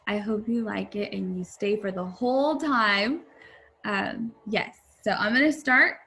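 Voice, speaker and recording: A woman teacher reading a picture book aloud to young viewers, with deliberate, expressive phrasing. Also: medium pitch. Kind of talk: children's read-aloud, addressing young viewers.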